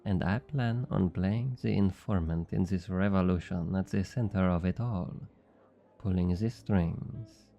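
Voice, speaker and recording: An actor playing a smug, flirty thief with a French accent, teasing and coaxing in an intimate ASMR roleplay. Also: male voice, low-pitched, measured pace, gravelly, dark tone, faint music behind. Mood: coolly bargaining. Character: obnoxiously flirty, smug male thief and information broker.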